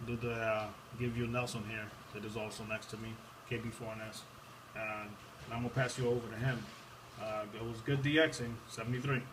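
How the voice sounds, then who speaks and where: A man's voice: mid-range voice, little pitch movement, brisk. Man from Puerto Rico, distant and noisy. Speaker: amateur radio operator. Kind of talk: casual ham radio conversation. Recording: distant, noisy room capture.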